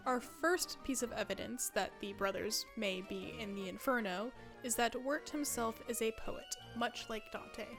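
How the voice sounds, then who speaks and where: Wry young woman, fairly high voice. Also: unhurried, hardly any pauses, music in the background. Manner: conversational, wry asides. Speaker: video essayist. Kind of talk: casual scripted narration.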